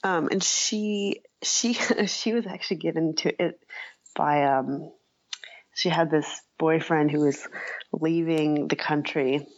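A woman giving thoughtful, halting, conversational answers as an interview guest, with a dull lo-fi recording. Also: low-pitched, dry recording. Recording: dull, lo-fi, dry audio.

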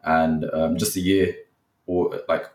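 Deep-voiced young man rambling in an echoey room. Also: flat delivery, takes it slowly, a little mellow, recorded from a distance. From Nepal. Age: young adult. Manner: rambling, many fillers, hesitant. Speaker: guest, content creator. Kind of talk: casual interview chat. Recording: echoey, from off the mic.

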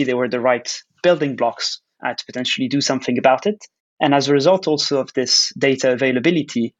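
A man from Switzerland, a company founder giving measured, explanatory answers in a podcast interview. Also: medium pitch, dull audio. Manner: measured, explanatory, with frequent "uh" pauses. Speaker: guest, startup founder and CEO.

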